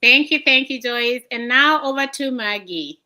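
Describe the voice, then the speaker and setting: Woman host from Kenya, upbeat livestream presenter, bright and fairly high. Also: lively intonation, unhurried. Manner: upbeat, welcoming, hands over between guests. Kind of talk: motivational livestream talk. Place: home, indoors at night.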